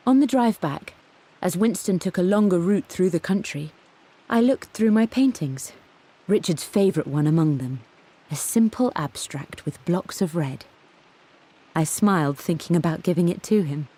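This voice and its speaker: An actress playing a tender British mother and painter in a video game, with a soft, breathy voice. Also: lowish voice, lively intonation, moderate pace, poor audio. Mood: fond, happy. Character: tender, happy mother, an upper-class painter recalling her life. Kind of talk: narrated journal memory in a video game.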